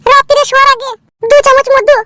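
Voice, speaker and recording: A man voicing a bossy, nosy older village aunt in a comic cartoon, with a rural West Bengal accent, a pitched-up voice and a preachy, earthy manner. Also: little pitch movement, quick pace, background noise. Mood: chatty, confiding. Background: music.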